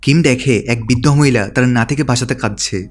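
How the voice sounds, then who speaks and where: A man's voice: medium pitch, fast. A man reading a scripted story recap as a narrator.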